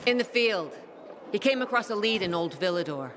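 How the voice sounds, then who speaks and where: An actor playing a blunt, proud soldier who briefs a newcomer in a brusque, informal way in a video game. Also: male voice, sits high, expressive, a little fast, background noise. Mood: businesslike. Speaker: faction officer giving briefings.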